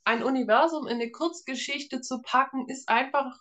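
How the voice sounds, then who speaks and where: Casual woman author, slightly high voice. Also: normal speed, fairly bright tone. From northern Germany. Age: around 30. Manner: informal, explanatory. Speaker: author, interview guest. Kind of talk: casual interview conversation.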